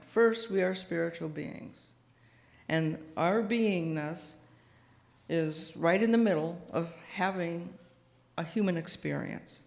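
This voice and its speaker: A soft-spoken woman minister giving a gentle, thoughtful sermon, pausing often with small fillers, speaking into a microphone in a church sanctuary. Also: fairly low pitch. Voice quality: quiet voice. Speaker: guest minister. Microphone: house sound system microphone. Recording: long hall reverb.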